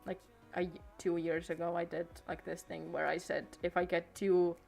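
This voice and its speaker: A woman's voice: lowish voice, little pitch movement, moderate pace, creaky, darkish tone, faint music behind. A teenage girl streaming from home on a desk microphone, chatting casually and hesitantly, trailing off and restarting her sentences. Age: late teens. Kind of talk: casual rambling stream chat.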